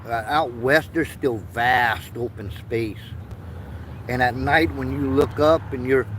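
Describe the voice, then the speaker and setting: A man's voice: mid-range voice, steady tone, takes it slowly, fairly bright tone, a little hiss or hum. A man in his fifties, born in Vietnam and raised in the United States, talking earnestly in a rambling livestream, often stopping to repeat himself. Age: 50s. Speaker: livestream host. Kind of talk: rambling casual livestream monologue.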